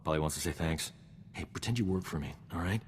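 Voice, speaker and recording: An actor playing a cocky, flirtatious roguish combat medic in a video game, with a smug, suave, breezy delivery. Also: male voice, deep voice, quick pace, long reverb tail, slight background noise, lightly acted. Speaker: combat medic companion character. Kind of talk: video game dialogue acting.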